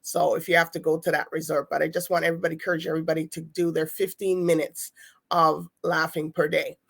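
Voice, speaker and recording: A woman's voice: low-pitched, little pitch movement, quick pace, creaky. A woman hosting a talk show, chatting loosely and rambling between segments. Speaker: show host.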